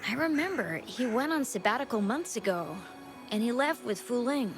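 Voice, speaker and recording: A woman's voice: on the high side, lively intonation, moderate pace, natural voice. An actress playing an eager, deferential young townswoman in a video game, hesitant and earnest. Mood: concerned. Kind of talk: scripted game dialogue. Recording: game music behind voice.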